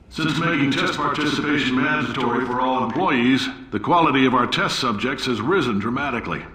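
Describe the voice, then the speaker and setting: Blustering company boss. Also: male voice, medium pitch, unhurried, pauses often. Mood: brash. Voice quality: loud, blunt salesman bluster. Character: brash, boastful male company boss in recorded announcements. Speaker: game character. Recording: old announcement recording with audible noise.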